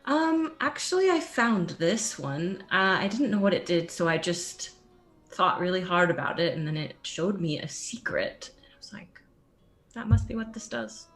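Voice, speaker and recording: Earnest lower-voiced woman player. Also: lively intonation, normal speed. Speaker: tabletop game player. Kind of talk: casual game chat.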